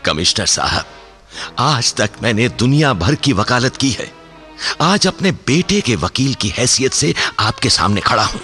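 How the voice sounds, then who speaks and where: An actor playing a dignified, indignant Indian lawyer in a radio play, formal and emphatic like a courtroom orator. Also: male voice, medium pitch, sing-song, a little slow, bright, forceful tone. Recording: music behind the voice.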